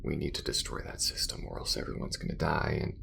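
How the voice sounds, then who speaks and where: A man voicing a gruff, guarded alien crewmate with a very deep, gravelly, halting mutter in an intimate ASMR roleplay. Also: little pitch movement, pushed and bright, slight background noise, full character voice. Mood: grim, resolved.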